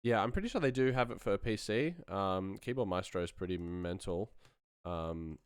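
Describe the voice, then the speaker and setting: Man, low smooth voice, dry close sound, casual slangy tutorial, self-deprecating. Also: moderate pace, dry recording. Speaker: sound designer giving a tutorial. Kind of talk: casual live-streamed software demo.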